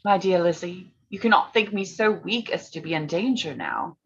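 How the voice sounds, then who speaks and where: Woman as a gentle, low-voiced young gentlewoman. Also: normal speed, a little hiss or hum, natural voice. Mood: composed. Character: gentle, earnest young gentlewoman, kindly elder sister. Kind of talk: staged reading of period drama. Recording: dull lo-fi call audio.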